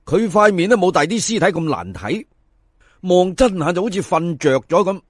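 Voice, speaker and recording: A man's voice: high-pitched, dry recording, clean studio sound. A man with a Cantonese accent narrating a folk tale in a theatrical, colloquial way, speaking straight to his listeners. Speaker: storyteller.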